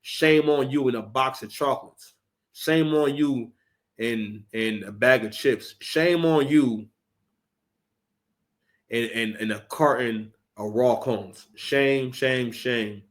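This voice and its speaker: A man's voice: mid-range voice, takes it slowly. A man hosting a reaction show, ranting in heated, profane commentary with many restarts.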